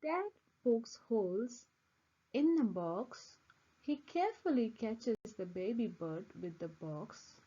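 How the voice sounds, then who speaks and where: A woman reads a children's storybook aloud in a lo-fi recording. Her delivery is unhurried and deliberate, with big, expressive swings of pitch. The phrasing is simple, made for young listeners. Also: husky. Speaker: children's book reader.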